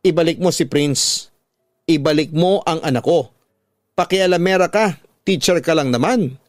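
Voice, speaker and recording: A man voicing an angry, threatening mother in a radio drama, shouting and confrontational as she demands her child. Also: fairly high pitch, moderate pace, dry recording, studio quality, natural voice. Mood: furious, demanding.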